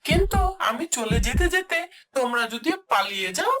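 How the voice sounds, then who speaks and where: An actress voicing a proud, gullible lioness in a children's cartoon. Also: female voice, on the high side, very animated, normal speed, over loud music. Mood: credulous, eager. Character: gullible, proud lioness, easily tricked by flattery. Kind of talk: children's cartoon story dialogue.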